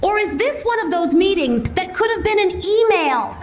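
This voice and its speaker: A woman voicing an excitable, chatty pet dog who is eager, cheeky and easily distracted. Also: very high voice, normal speed, heavy background noise. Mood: playful.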